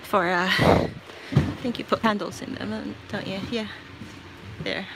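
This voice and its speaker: A woman from the Philippines chatting casually on a live shopping stream, reading out prices and giving shout-outs as she walks around a store. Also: mid-range voice, fairly even intonation, unhurried. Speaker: livestream shopping host. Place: inside a large retail store. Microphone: handheld phone while walking the aisles. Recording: noisy shop floor phone livestream.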